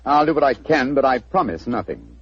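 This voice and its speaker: Keen sleuth, husky, very animated delivery, lo-fi 1940s radio. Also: male voice, medium pitch, fairly quick. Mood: confident. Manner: crisp, confident deductions with small hesitations. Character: sharp, brisk male detective, middle-aged. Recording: dull old radio recording.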